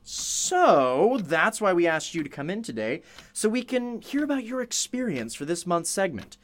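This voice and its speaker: Male actor as effusive radio interviewer for an audio drama; highish voice, very lively intonation, chatty and courteous. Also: normal speed. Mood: chatty, upbeat. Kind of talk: radio play acting, interview.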